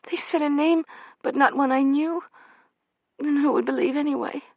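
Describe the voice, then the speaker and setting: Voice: sits high, steady tone, normal speed, no room sound, lo-fi sound, pitched above the performer's own voice. An audiobook reader voicing a frightened young American woman on the run, tearful and pleading.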